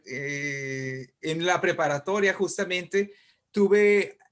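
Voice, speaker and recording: High-pitched Mexican man telling anecdotes. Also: slow. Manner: hesitant, repeats words. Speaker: guest writer and poet. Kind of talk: anecdotal interview talk.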